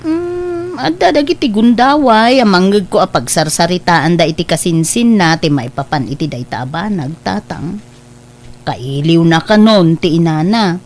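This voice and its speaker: A woman voices a warm-hearted middle-aged aunt with a mid-pitched voice. She speaks gently and encouragingly to her family in a family radio drama. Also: close to an everyday voice. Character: caring middle-aged aunt and mother, supportive. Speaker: voice actor.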